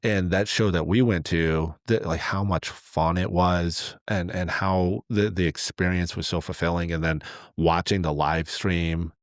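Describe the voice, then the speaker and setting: A man with a low-pitched voice talks in a dry, close recording. He tells stories casually as a podcast co-host, slipping into quoted remarks in a loose conversational way. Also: steady tone, a little slow, no room sound.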